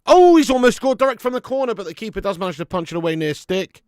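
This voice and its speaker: A man hosting a live fan watch-along of a football match at home, chatting to viewers with sweary banter and bursting into loud cheering at chances. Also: high-pitched, big pitch swings, quick pace, smooth, dry recording, clean studio sound. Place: at home in front of a camera.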